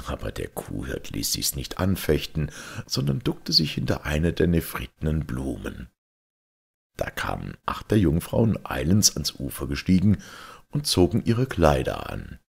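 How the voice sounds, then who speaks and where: A man reading a fairy tale as an expressive scripted narration, like an audiobook storyteller. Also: rumbling low, unhurried, vocal fry, husky.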